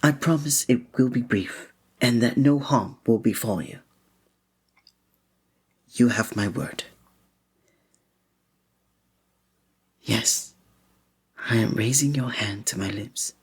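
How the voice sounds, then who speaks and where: Man playing a soft, breathy, gallant fantasy innkeeper. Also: mid-range voice, takes it slowly, long pauses. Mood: flirtatious, tender. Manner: formal, old-fashioned, gallant, gentle phrasing. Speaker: ASMR roleplay performer. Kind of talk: scripted romantic ASMR roleplay.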